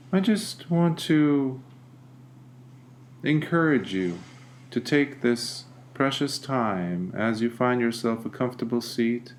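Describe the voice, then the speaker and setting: A man leading a guided meditation as a teacher, speaking gently and deliberately with long pauses. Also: medium pitch, slight background noise.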